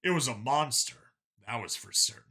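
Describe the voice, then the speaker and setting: A man's voice: mid-range voice, expressive, moderate pace, sharp tone, husky. A man reading a science fiction story as an audiobook narrator, in a dramatic scripted style.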